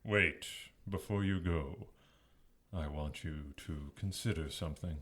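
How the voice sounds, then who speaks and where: A man playing a scheming, seductive demon lord in an audio drama, with a husky rasp and a mocking, persuasive manner. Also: very deep voice, fairly bright tone, exaggerated voice. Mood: sly. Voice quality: husky, raspy. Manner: slow, mocking, theatrical persuasion. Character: scheming male demon lord, seductive tempter hungry for power.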